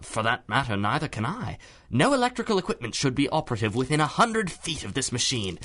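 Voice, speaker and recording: A man's voice: medium pitch, big pitch swings, fairly quick, a bit bright. A man playing a polite, fretful older inventor in a radio drama, speaking in a genteel, hesitant, insistent way. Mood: intrigued. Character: polite, fretful, inquisitive older inventor, an old-fashioned gentleman.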